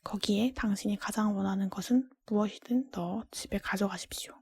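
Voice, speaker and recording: A woman voicing a polite, formal male beast of a castle from a storybook, in a breathy whisper. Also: medium pitch, fairly quick, darkish tone. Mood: calm, courteous.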